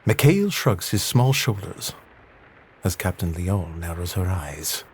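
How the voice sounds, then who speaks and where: A man serves as narrator of an audio adventure. His voice is on the low side, reading scripted story prose. There is a little noise behind him. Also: expressive, slow pace, a little mellow.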